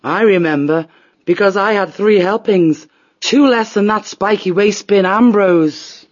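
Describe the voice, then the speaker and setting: A woman voicing a sturdy, warm-hearted female badger with a homely, practical manner in a storybook. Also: medium pitch, a little slow, natural voice. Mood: fond. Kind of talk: dramatised storybook reading. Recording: slightly dull lo-fi audio.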